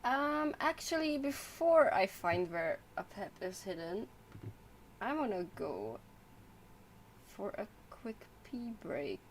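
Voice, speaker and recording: Slow, casual woman game streamer. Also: medium pitch, very animated, slight background noise, rough recording. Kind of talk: casual gameplay commentary. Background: game music.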